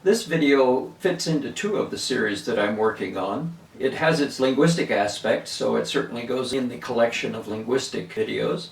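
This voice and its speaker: A man's voice: medium pitch, gravelly. A man in his seventies who grew up in Venezuela, unhurriedly reminiscing and explaining words in a casual storytelling way. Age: 70s. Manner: unhurried, reminiscing, chuckles at his own stories. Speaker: linguistics video host. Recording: roomy echo, mic at a distance.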